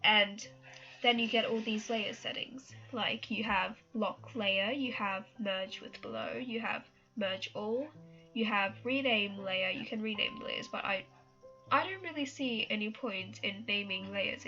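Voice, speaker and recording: A woman's voice: mid-range voice, pushed and bright, muffled recording. A young woman with a cold, rambling casually through an art tutorial and apologizing often. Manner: rambling, self-conscious, laughs and apologizes often. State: has a cold. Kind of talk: casual screen-recorded tutorial.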